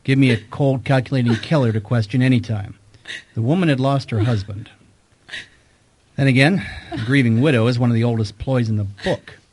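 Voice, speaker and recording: A man's voice: mid-range voice, fairly quick, a little mellow, lightly acted. An actor playing a wisecracking, dogged male private detective in a radio mystery, talking in a dry, casual, probing way. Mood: dry narration. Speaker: lead actor in an audio mystery drama. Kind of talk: radio detective drama with narrated asides.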